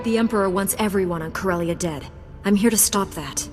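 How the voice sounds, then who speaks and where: A woman playing a calm, resolute Jedi knight in a video game, speaking with earnest firmness. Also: mid-range voice, fairly quick, lightly acted. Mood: firm. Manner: composed, earnest, firm. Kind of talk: scripted game dialogue. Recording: music behind the voice.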